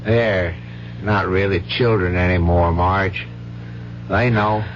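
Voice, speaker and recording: An actor playing a steady, plain-spoken man in a 1970s radio drama, measured and matter-of-fact as he consoles a widow. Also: low voice. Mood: calm, frank. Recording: dull vintage radio recording, faint hum.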